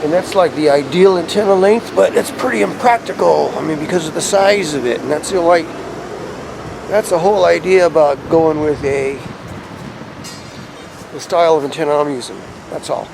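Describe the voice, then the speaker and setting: Casual male vlogger, slightly high-pitched with a creaky edge, rambling explainer delivery, outdoor ambient noise. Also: normal speed. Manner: laid-back, conversational, explanatory. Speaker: vlogger showing a DIY radio antenna install. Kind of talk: casual rambling vlog talk to camera. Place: outdoors beside his truck.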